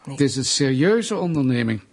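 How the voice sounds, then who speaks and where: An actor playing a patronizing, sardonic Soviet official in a radio drama, mock-polite and bullying. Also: male voice, mid-range voice, big pitch swings, moderate pace, a little mellow. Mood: condescending, mocking. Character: menacing, condescending male Soviet state official, bureaucratic bully.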